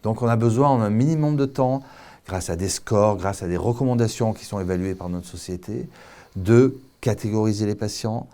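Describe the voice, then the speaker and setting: A man's voice: fairly low pitch, normal speed, dark tone. A man speaking as an anaesthetist guest, explaining professional matters methodically, point by point.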